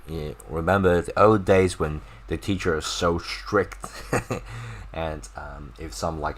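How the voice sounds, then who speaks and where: Male language teacher, native Chinese with Chinese accent. Low-pitched, unhurried, informal explanations with drawn-out, repeated example words. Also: husky. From China. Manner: repeats and slowly stretches words to demonstrate pronunciation.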